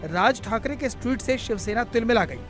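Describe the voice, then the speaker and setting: A man narrates a scripted news report in a very high-pitched voice. Music plays clearly behind his voice-over throughout. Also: animated, fairly quick.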